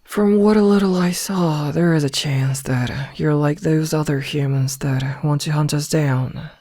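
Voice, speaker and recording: Possessive female werewolf played by a woman for ASMR roleplay: unhurried, deliberate, slipping into whispers, smitten but menacing, close and dry sound. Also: low voice, warm tone, no room sound. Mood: suspicious, stern. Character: possessive werewolf woman, pack omega, smitten yet threatening. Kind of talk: ASMR roleplay audio drama. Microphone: close, dry microphone.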